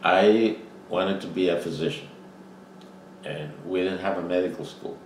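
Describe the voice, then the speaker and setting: Older Costa Rican man, very deep voice. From Costa Rica. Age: 70s. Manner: halting, frequent "you know" fillers. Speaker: interviewee. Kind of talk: oral history interview. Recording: roomy, distant mic with faint noise.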